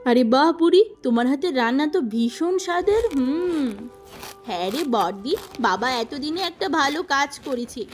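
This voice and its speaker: A woman's voice: sits high, animated, a little slow, faint music behind. A woman voicing a whiny, lazy, cheeky younger sister from a village. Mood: pleased, cheeky.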